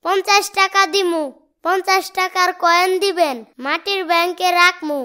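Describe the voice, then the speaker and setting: Cartoon casting: cheeky, stubborn small boy, Bangladeshi accent, extremely high and sharp voice. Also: fairly even intonation, normal speed. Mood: insistent. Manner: bold, argumentative, insistent.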